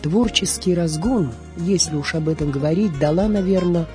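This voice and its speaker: A woman's voice: lowish voice, moderate pace, music in the background. A woman reading a scripted documentary narration as the narrator of a radio programme. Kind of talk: scripted documentary narration about film and theatre.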